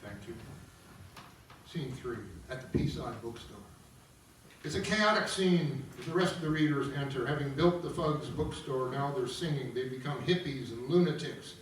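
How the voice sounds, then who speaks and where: A man's voice: medium pitch, moderate pace, slight background noise. A man narrating at a table read, reading stage directions aloud. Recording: echoey room, distant mic, background music.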